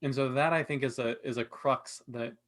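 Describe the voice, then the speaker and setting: A man's voice: mid-range voice, steady tone, normal speed, a bit bright. A man talking casually and analytically as a co-host in a panel discussion, explaining his points. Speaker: podcast co-host.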